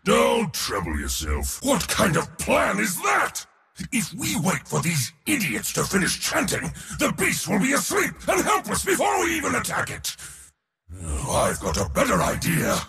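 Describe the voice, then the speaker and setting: Arrogant war god, video game villainy and bravado, high-pitched for a man, gravelly, pompous, bloodthirsty, declamatory. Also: huge pitch swings, measured pace, bright, forceful tone, shouting, big-room sound, exaggerated voice. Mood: scornful, impatient. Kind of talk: theatrical video game character acting.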